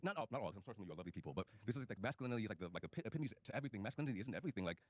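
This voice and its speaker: A man's voice: lowish voice, animated, racing, no room sound. A man in a group debate, insistent and repeating his point over others. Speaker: debate participant.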